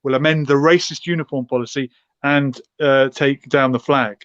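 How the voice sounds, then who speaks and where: A man's voice: mid-range voice, fairly even intonation, moderate pace, fairly bright tone, smooth, dry recording. A man hosting a live discussion, asking questions in a conversational interviewing style through a dull, muffled microphone. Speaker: host of a live online discussion.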